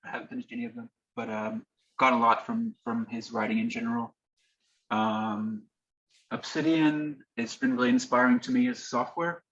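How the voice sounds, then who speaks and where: A man's voice: medium pitch, steady tone, moderate pace, fairly bright tone. Hesitant man, dull lo-fi call audio. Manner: hesitant, self-repeating. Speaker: book club member. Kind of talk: casual video call introduction. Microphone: video call.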